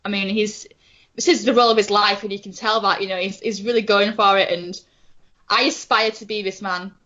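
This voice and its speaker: Bright, excitable woman on a muffled line. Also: mid-range voice, brisk. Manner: rambling, restarts sentences, excitable. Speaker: podcast co-host. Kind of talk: casual fan chat. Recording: dull lo-fi audio.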